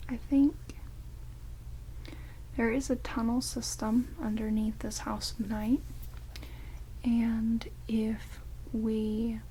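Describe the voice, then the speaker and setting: A woman playing an ancient, quirky vampire in an ASMR roleplay, speaking in halting, hushed whispers and soft murmurs. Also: on the high side, a little hiss or hum, rough recording. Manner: hushed, rambling, halting, drawn-out words. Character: ancient vampire, quirky, blunt yet caring, bandaging wounds. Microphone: close binaural microphones. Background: heavy rain.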